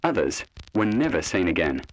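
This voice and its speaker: A man reading scripted horror mystery narration in a measured, ominous, dramatic way. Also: low voice, big pitch swings, slight background noise, rough recording.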